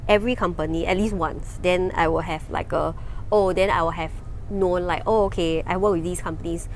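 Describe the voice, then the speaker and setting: A Mandarin-native woman with a Singaporean accent, an actor and lecturer answering casually, halting and repeating words for emphasis. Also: lowish voice, fairly even intonation, poor audio. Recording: noticeable background noise.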